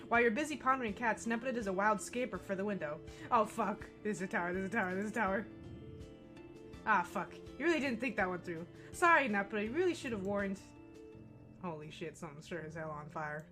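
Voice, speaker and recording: A woman with a mostly American accent, gently Texan on some words, reading a game's text aloud on a stream. She talks in a joking, profane way and laughs often. Clear game music plays behind her. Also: mid-range voice, lively intonation, fast. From Texas, US.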